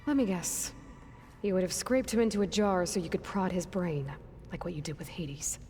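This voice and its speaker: A woman's voice: on the low side, expressive, moderate pace, a little mellow, soft background music, lightly acted. A woman voicing a guarded, determined young female warrior in a video game, with dry, sardonic delivery.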